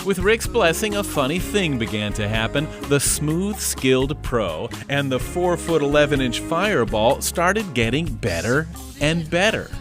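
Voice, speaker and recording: This is a man reading a scripted news feature with an animated, storytelling lilt. His pitch moves around a lot. A clear music bed plays beneath the voice. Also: unhurried. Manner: lively storytelling delivery. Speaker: reporter, narrator. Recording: voice-over over music.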